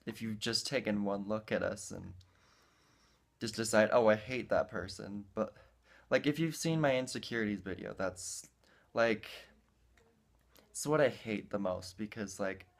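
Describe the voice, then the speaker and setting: A man's voice: lowish voice, measured pace, gravelly, long pauses. A young man, a video creator, chatting casually and earnestly in informal language. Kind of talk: casual chat.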